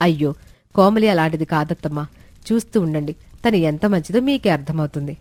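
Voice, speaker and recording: A woman's voice: low voice, brisk, darkish tone, no echo, close to an everyday voice. A woman voicing a dutiful, trusting young daughter-in-law in a family story.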